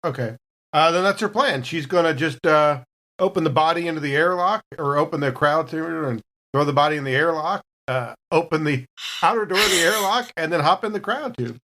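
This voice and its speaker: A woman's voice: deep voice, brisk, fairly bright tone. A woman playing a tabletop game, casually and wryly thinking through what her character does.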